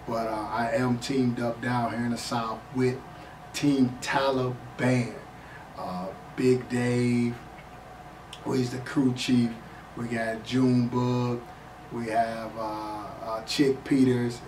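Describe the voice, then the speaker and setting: A hoarse man in his forties from the Chicago area, a drag racer answering interview questions with many fillers. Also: medium pitch. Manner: halting, many fillers, flat delivery. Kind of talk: casual interview. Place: small studio office. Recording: echoey room, mic far off, faint noise.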